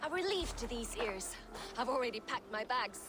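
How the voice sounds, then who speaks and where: A woman's voice: a little high, expressive, normal speed, close to an everyday voice. A woman playing a wry, free-spirited Viking warrior in a video game. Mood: playful, eager. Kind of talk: video game character acting. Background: music.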